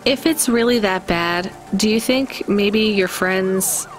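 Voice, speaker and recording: A woman's voice: medium pitch, takes it slowly, natural voice. Woman playing a wry young courier, music behind. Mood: calm, questioning. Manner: conversational, matter-of-fact. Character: young female courier driver, practical, wry, inquisitive. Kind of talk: video game dialogue.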